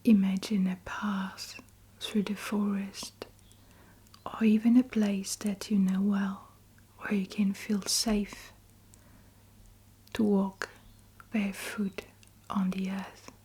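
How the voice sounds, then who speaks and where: A woman leading a guided meditation in a breathy whisper, in deliberate phrases with long pauses between them. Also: mid-range voice, little pitch movement, dark tone.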